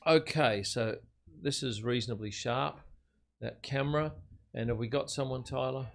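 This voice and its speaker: Older Australian male host, chatty and matey, medium pitch. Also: moderate pace, vocal fry. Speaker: livestream host. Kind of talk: casual chat and race commentary.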